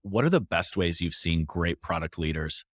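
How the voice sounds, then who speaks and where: A man's voice: deep voice, animated, moderate pace, a bit bright, dry recording, studio quality. A man interviewing a guest in a casual, conversational way, easing into his questions. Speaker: interviewer, host.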